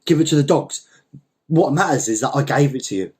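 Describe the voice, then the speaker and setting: Male storyteller as exasperated landowner, swooping high pitch, blustering, panicky, repetitive, dramatic storybook delivery. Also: animated, fairly quick, darkish tone, natural voice. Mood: insistent, blustering. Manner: exasperated, repeats himself, stammering commands. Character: panicky, blustering landowner, obsessed with his rights.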